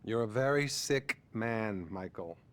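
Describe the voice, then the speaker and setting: An actor playing a patronizing, money-minded male therapist in a game cutscene, measured and condescending with feigned concern. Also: fairly low pitch, expressive. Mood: judgmental, patronizing. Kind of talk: video game cutscene acting.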